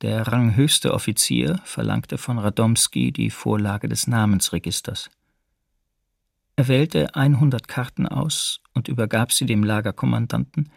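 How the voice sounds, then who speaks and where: Gravelly male camp survivor, soberly recounting. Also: medium pitch, no room sound, clean studio sound. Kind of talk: documentary radio drama, first-person testimony.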